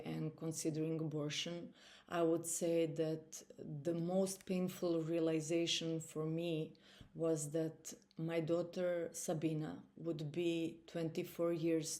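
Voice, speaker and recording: Slow, low-voiced Croatian woman. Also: warm tone. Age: 40s. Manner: slow, measured, earnest, with pauses. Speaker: testimony speaker. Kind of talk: personal testimony.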